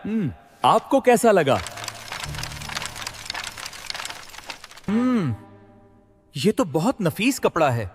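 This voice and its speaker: Man playing a high, swooping, flustered fairy-tale courtier. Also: big pitch swings, takes it slowly, soft background music, exaggerated voice. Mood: puzzled. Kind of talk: dramatised fairy tale acting.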